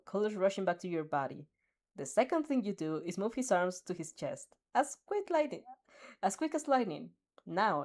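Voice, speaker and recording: A young woman reading a second-person fanfic aloud, expressive and giggling at her own slips. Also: fairly low pitch. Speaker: fanfic reader.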